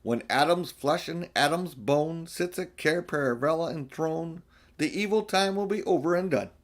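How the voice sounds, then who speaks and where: A man's voice: fairly high pitch, normal speed, pitched above the performer's own voice, lightly acted. A man voicing an earnest, cautious male beaver in a storybook, explaining things in an emphatic, folksy way. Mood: earnest, urgent. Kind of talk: storybook character voice.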